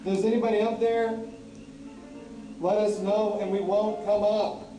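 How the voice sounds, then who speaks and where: A man's voice: high-pitched, little pitch movement, a little slow, dark tone, echoey room, poor audio. A man talking casually on location as the host of a paranormal investigation. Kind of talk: casual on-location ghost hunt talk. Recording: clear music over location video audio.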